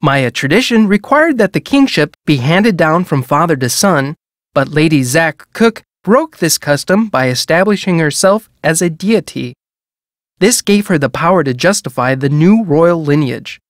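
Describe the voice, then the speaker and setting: A woman reading scripted educational museum narration for a video game in a measured, formal, explanatory way. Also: deep voice, animated, no room sound, studio quality.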